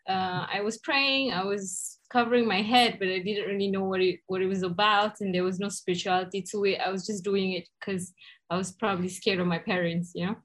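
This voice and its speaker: A woman's voice: mid-range voice, fairly bright tone. A woman from Malaysia, a podcast guest speaking candidly and reflectively about her life. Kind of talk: conversational interview storytelling.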